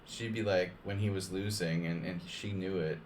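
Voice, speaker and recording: A man's voice: low voice, fairly even intonation, normal speed, a little hiss or hum, poor audio. A man streaming chess against viewers, chatting casually and rambling, with lots of "like" and restarts between joking asides. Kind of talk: casual live-stream chat over games.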